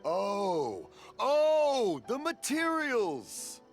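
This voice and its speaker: A man's voice: very high-pitched, wildly swooping pitch, full character voice. A man voicing a kindly, rambling old hard-of-hearing fireworks craftsman in an anime game, with music behind him. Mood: jolly, relieved. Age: older. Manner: rambling, jolly, drawn-out storytelling.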